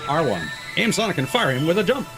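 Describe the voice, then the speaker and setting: Animated man commentating over game music. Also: fairly high pitch, quick pace. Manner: informal, mild swearing, thinking aloud. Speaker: streamer playing a video game. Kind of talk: casual game stream commentary, reading tutorial text aloud. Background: game music and sound effects.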